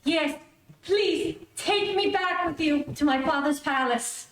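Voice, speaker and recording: A woman's voice: high voice, takes it slowly. A woman playing a haughty young captive princess in an old radio serial. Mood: pleading, indignant. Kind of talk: 1930s radio serial acting. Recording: echoey room, distant microphone.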